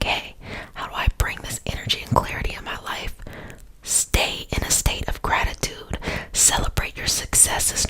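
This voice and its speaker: A young woman whispering softly and affectionately as a Reiki teacher guiding a relaxing healing session. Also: rough recording. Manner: soft whisper throughout, affectionate and soothing.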